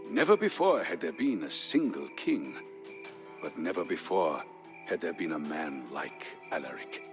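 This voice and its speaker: A man narrating as a grave Visigoth storyteller, recounting war history in a solemn, measured, theatrical way for a historical game. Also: extremely low pitch, sing-song. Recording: music behind the voice. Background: music.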